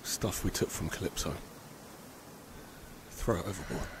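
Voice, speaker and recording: A man's voice: deep voice, measured pace. A man playing a terse, grimly practical sailor in an audio drama, speaking in clipped lines. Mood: grim, resolute. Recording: clear background noise and ambience.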